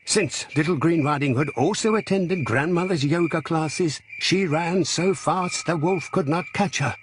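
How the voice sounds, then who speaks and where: A man reading a scripted children's story as an expressive, dramatic narrator. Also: fairly high pitch, a little slow, heavy background noise.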